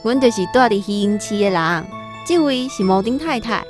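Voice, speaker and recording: Radio drama: actress as frivolous society miss, gushing and flattering, medium pitch over light music. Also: female voice, normal speed, close to an everyday voice. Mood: proud, inviting. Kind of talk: Taiwanese radio drama acting. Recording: music and jingles behind voice.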